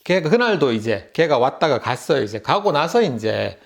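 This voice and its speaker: A man's voice: medium pitch, sing-song, moderate pace, gravelly. A middle-aged man with a Busan Gyeongsang accent, telling a casual story to camera as a vlogger, chatty and laughing often. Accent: Gyeongsang (Busan) Korean. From Busan, South Korea. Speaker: YouTube vlogger.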